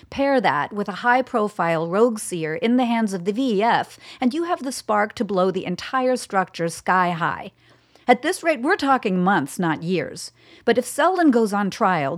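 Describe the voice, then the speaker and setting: A woman's voice: mid-range voice, close to an everyday voice. A woman playing a resolute, guarded doctor and intelligence official in a radio play, speaking with firm, formal deference.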